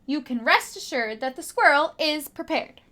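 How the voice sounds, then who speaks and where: Lady teacher reading a kids storybook, high voice, really expressive, little hushed asides to the kids. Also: moderate pace. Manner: expressive, dramatic emphasis, drops into hushed asides. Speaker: teacher reading a picture book aloud. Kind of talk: children's story read-aloud with asides to young listeners.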